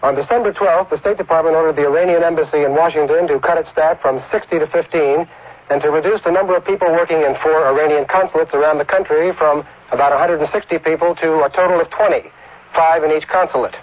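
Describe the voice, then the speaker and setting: A man's voice: on the high side, fast talker. An American man giving a scripted correspondent's report on a muffled vintage radio broadcast. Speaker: news correspondent.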